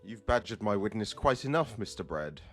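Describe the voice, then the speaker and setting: A man's voice: lowish voice, big pitch swings, normal speed, faint music behind. A man playing a stern, dignified prosecutor in an audio drama, formal and firm in his speech. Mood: forceful objection. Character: stern, dignified male prosecutor, dryly principled. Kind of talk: amateur audio drama acting.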